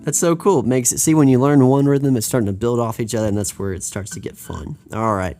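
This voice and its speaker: A man's voice: mid-range voice, steady tone, fast, dark tone. An American man teaching a live guitar lesson, talking in an upbeat, chatty way that wanders and restarts.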